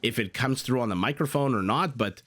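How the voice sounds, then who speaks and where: A man with a raspy voice casting a strategy game match in an excitable, chatty way, full of asides and exclamations, into a desktop condenser mic. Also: medium pitch, fairly quick. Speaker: game caster. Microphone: desktop USB condenser mic.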